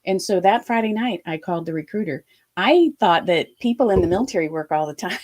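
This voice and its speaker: A woman from Ohio in her fifties recounts her past as an interview guest. Her voice sits a little low, and she slips into quoted thoughts with animated energy. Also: fairly quick. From Ohio, USA. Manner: lively, animated retelling with quoted asides. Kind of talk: storytelling interview.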